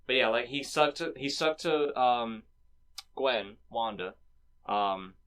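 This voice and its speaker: A man co-hosting a movie podcast, recapping scenes in a laid-back casual way, filling pauses with "you know" and "um". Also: mid-range voice, animated, a bit bright, smooth. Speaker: podcast co-host.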